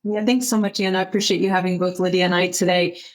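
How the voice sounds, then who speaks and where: A middle-aged woman with an American accent speaks in a slightly low voice as a podcast guest. She is conversational and measured, building long, winding sentences. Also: level tone. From United States, Northeast Ohio. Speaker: podcast guest, healthcare technology executive. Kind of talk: conversational interview answer.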